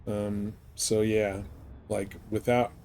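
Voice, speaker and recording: Man, low voice, casual game streamer, informal rambling, noisy background ambience. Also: flat delivery, slow pace, a little mellow. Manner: rambling, informal, thinking aloud. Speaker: game livestreamer. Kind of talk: casual chat while playing a space game.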